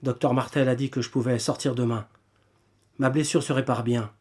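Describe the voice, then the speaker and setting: A man playing a grave, determined wartime Resistance leader in a French audio drama, speaking in a measured, earnest way. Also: medium pitch, steady tone, darkish tone, close to an everyday voice. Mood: grave, resolute.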